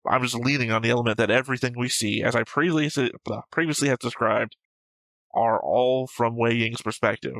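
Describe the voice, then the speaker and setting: A man with a bright, sharp voice in a very dry recording. He talks like a co-host in a casual debate, picking points apart in a matter-of-fact, argumentative manner. His pitch sits in the middle. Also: steady tone, brisk, no room sound, poor audio. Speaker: co-host of an anime reaction show. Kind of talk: casual analytical debate, discussion.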